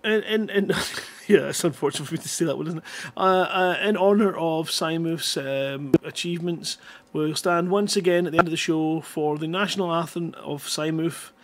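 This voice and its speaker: A man's voice: fairly high pitch, moderate pace, hardly any pauses, fairly bright tone. A middle-aged man hosting a live streamed show in a casual, jokey, rambling way, speaking into a headset. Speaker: host of a live streamed writing-competition show. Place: indoors at a computer, live stream.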